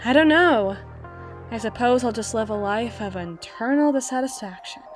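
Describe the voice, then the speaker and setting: A woman playing a wistful, guarded female half-spider bouncer in an intimate roleplay monologue, musing with dramatic pauses and soft trailing phrases. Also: fairly high pitch, lively intonation, soft background music, lightly acted. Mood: hurt, reflective. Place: close-miked recording with music playing behind.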